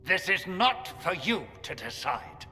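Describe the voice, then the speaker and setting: A man's voice: high voice, big pitch swings, slow pace, over loud music, heavily acted. An actor playing a haughty, hostile church chancellor in a video game, imperious and indignant in his accusations. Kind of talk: dramatic video game acting. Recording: long hall reverb.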